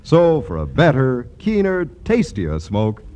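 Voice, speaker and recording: A man's voice: mid-range voice, wildly swooping pitch, slow, dark tone. An American man reading a scripted 1940s radio commercial as an announcer. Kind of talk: 1940s radio advertising pitch.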